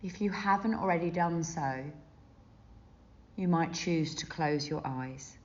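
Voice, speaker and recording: A woman leading a guided meditation as a teacher, gentle and soothing, with unhurried phrases and long pauses. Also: low voice. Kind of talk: guided body scan meditation. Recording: dull, muffled lo-fi sound.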